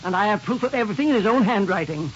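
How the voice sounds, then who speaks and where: A man's voice: high-pitched, expressive, rapid-fire. An actor playing a self-righteous, indignant middle-aged father in an old-time radio drama, pompous and stiff. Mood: stern indignation. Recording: hissy, dull vintage radio recording.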